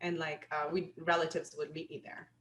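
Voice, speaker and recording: A woman being interviewed as a guest, telling lively stories and laughing often. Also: low voice, moderate pace. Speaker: guest, business attorney. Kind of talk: casual interview chat. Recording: roomy with faint ambience.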